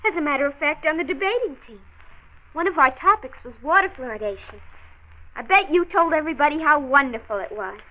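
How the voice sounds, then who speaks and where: A high, very animated girl's voice from a thin, narrow-band 1940s radio play. She is a precocious granddaughter who argues with pert confidence and recites facts in formal debating style. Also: fairly quick. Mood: confident, reciting. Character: precocious, confident twelve-year-old granddaughter, pert and argumentative. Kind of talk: 1940s radio drama acting. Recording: band-limited old 1940s radio recording.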